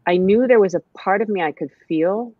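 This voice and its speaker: A woman's voice: mid-range voice, fairly quick, no echo, narrow, tinny audio. An American woman, a writer, talking reflectively as a guest in a casual conversation, with many fillers and restarts. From United States.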